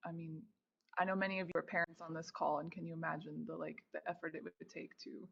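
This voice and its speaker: Creaky-voiced woman hosting a call. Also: sits low, flat delivery, fast talker. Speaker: host. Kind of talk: casual encouraging hosting of a group call. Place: video call. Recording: slightly dull video-call audio.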